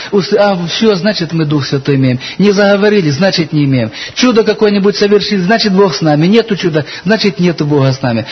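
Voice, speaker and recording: A man preaching a sermon in an earnest way, telling stories from his church and reading scripture aloud. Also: a little high. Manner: earnest, addresses listeners as brothers and sisters. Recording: dull lo-fi recording with faint hiss.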